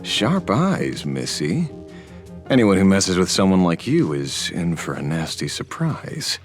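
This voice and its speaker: A man's voice: low voice, huge pitch swings, unhurried, full character voice. An actor playing a wry, streetwise male investigator in a game, talking with sardonic, self-assured drawl. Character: wry streetwise male investigator, lone-wolf justice seeker. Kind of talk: game dialogue voice acting. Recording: studio voice with faint game music.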